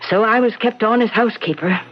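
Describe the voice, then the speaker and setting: A woman playing a grieving, haunted middle-aged housekeeper, telling her tale haltingly and solemnly in an old-time radio drama style. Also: a little high, lightly acted. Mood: grave, haunted. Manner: halting, sorrowful storytelling. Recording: dull lo-fi radio recording.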